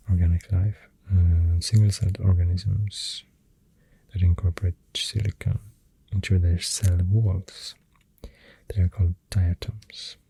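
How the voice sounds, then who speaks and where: An ASMR creator with a Swedish accent, speaking very softly and often near a whisper, thinking aloud hesitantly into a close microphone. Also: low-pitched, poor audio. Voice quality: very soft, breathy, often dropping to a whisper. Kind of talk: soft-spoken ASMR, rambling science facts.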